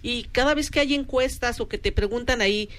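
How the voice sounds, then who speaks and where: A woman's voice: fairly high pitch, a little fast, fairly bright tone. Mexican woman hosting a radio talk show. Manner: conversational, many fillers like "o sea".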